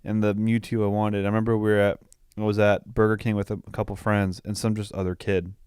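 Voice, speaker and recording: A man's voice: fairly low pitch, little pitch movement, quick pace, darkish tone, clear, resonant tone, no echo, studio quality. A young man co-hosting a podcast, chatting casually about films with slang and swearing. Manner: slangy, profane. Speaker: podcast co-host. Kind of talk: casual film review chat.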